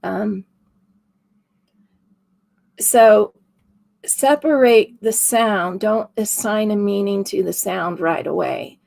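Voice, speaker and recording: Raspy female co-host, unhurried and gravelly, easy laugh, casual paranormal chat. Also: mid-range voice, a little mellow.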